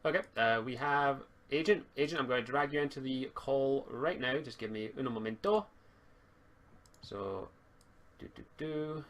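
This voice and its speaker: A man speaking casually as game master of a tabletop roleplaying game, halting and repeating words as he thinks. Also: medium pitch, a bit bright. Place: home online voice call stream.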